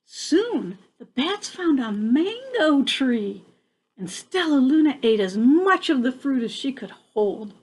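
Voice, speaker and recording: Grandmotherly woman storyteller: unhurried, very lively intonation, playful exclamations and chatty asides. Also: high voice, slightly rough, poor audio. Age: older. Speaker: grandmother reading to children. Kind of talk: expressive picture-book storytelling with chatty asides.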